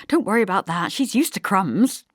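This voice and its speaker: A woman's voice: mid-range voice, animated, moderate pace, no room sound, clean studio sound, voice pushed up, natural voice. A woman voicing an awkward young female cousin in an audiobook, hesitant, evasive and apologetic. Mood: defensive.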